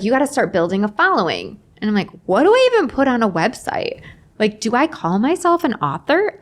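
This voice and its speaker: A middle-aged woman telling stories casually as a podcast guest, chatty and expressive, often voicing remembered dialogue. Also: medium pitch. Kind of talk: casual interview chat with animated storytelling.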